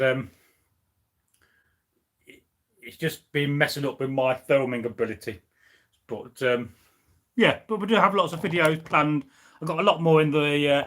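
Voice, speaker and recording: A man's voice: a little high, pushed and bright. A young man from the UK chatting casually on a live stream from home, rambling with many fillers and restarts. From United Kingdom. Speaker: history channel host. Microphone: camera on a tripod.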